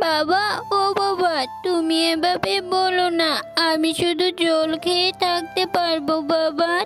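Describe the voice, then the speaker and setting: Woman as a very high, sweet little cartoon girl. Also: flat delivery, measured pace, music in the background. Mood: sweet consoling. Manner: childlike, earnest. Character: sweet, caring young animal daughter, helpful little girl. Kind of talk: children's cartoon story voice acting.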